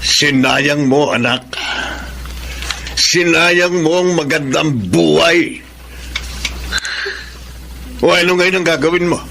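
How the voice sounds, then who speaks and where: A man's voice: fairly high pitch, slow pace, creaky, long pauses, sharp tone, exaggerated voice. A man playing a disappointed older father in a radio drama, stern and reproachful. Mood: disappointed, scolding. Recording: noticeable background noise.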